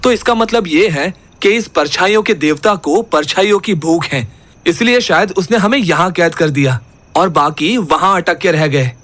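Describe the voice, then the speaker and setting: A man's voice: high voice, moderate pace, fairly bright tone. An actor playing an analytical, sceptical young man investigating ghosts in a horror audio drama. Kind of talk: dramatised horror audio story, Hindi mixed with English.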